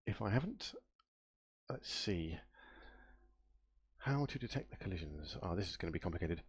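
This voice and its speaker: Low, gravelly, nasal man coding. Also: lively intonation, normal speed, no room sound. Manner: muttering to himself, trailing off and spelling words out while searching. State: nasal from a cold. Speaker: game developer live-streaming his coding. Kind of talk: casual thinking-aloud coding stream.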